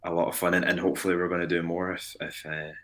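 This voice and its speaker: A young Scottish man, a musician guest in a casual interview, talking in an animated, rambling way with frequent restarts. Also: low-pitched, fast. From Scotland.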